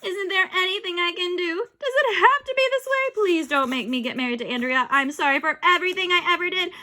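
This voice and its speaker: A woman's voice: extremely high pitch, sing-song, normal speed, bright, forceful tone, voice pushed up, full character voice. A woman voicing a panicked, whiny young schoolboy who shrieks and pleads in a storybook reading. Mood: pleading.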